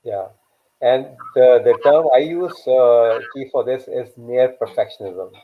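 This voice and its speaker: A man's voice: mid-range voice, a little slow, slight background noise. Man with a native Urdu tongue on a tinny line. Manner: rambling, repeats words while thinking. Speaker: host. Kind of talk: live online talk show.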